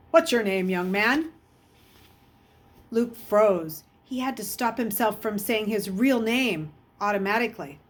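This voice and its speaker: A woman reading a children's novel aloud as an expressive storyteller, with a husky voice. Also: mid-range voice. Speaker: audiobook reader.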